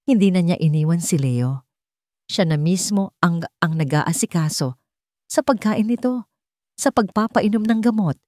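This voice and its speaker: A Filipino woman narrates a scripted drama as a storyteller. Her voice sits on the low side. The recording is dry, with no room around it. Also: darkish tone, no room sound. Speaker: storyteller of an audio love drama.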